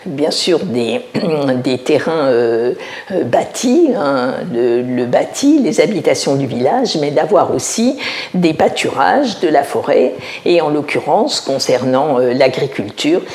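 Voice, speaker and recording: Low-voiced older woman, roomy echo. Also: very animated, a little mellow. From Alsace, France. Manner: measured, explanatory, often pausing to find words. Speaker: village mayor. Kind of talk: interview answers.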